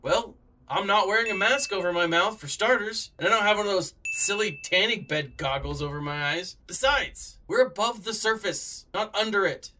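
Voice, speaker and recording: A man acts out an exasperated male city cop in a comedic sci-fi radio play. The voice sits fairly high and is very bright and forceful. He grumbles with impatient sarcasm. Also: moderate pace. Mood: exasperated, indignant. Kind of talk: comedic podcast radio drama acting.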